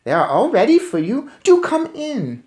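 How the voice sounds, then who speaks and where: A sugary storybook lady, voiced by a man, coaxes in a raised, high voice. She coos with false sweetness, as if luring a small child. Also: huge pitch swings, normal speed, darkish tone, full character voice. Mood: falsely sweet. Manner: cooing, coaxing.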